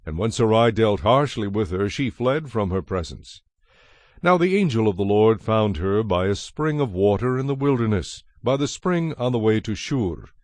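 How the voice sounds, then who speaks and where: A man giving a solemn, measured scripted narration of scripture in a dramatized style. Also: fairly low pitch, animated, darkish tone, dry recording. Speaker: Bible narrator. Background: music.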